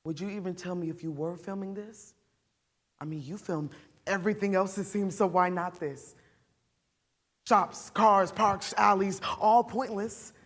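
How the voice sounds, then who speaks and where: A woman playing an embittered, wounded mother in a dramatic stage monologue, halting and defensive, throwing out pointed questions. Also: medium pitch, steady tone, close to an everyday voice. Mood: agitated, bitter. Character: embittered, wounded mother deciding her attacker's punishment.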